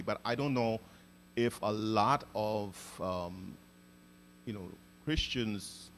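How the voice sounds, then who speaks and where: Man, host, lowish voice, hesitant and searching for words, faint hum behind. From Guyana. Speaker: podcast host. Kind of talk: casual interview conversation.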